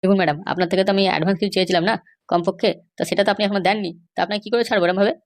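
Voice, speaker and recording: A woman's voice: medium pitch, steady tone, very fast, natural voice. A woman voicing a stubborn, bribe-seeking police officer in a comedy cartoon, insistent, lecturing and dismissive. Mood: insinuating. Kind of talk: Bengali comedy cartoon dialogue.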